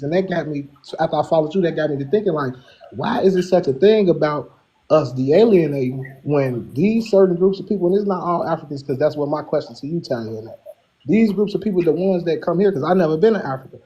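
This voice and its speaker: Man, calling in, slightly higher voice, husky, rambling and earnest. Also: animated, quick pace, warm tone, dull audio. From Chicago, USA. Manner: earnest, rambling, restarts often. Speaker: caller. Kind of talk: casual call-in talk.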